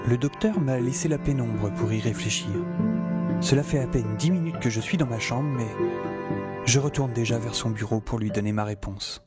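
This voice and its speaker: A man's voice: mid-range voice, moderate pace, over loud music, close to an everyday voice. A man playing a young professor, the wry and hesitant hero of an audio drama, who talks colloquially and narrates his own story. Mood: wry, confiding. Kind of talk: audio drama dialogue and first-person narration.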